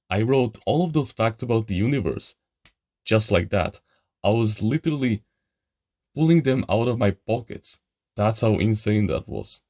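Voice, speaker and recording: Young man, from Eastern Europe, non-native English, slangy casual storytelling, somewhat low voice, dry close sound. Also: a little mellow, no echo. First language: an Eastern European language. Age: young adult. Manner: chatty, slangy, addresses listener as bro. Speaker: channel host.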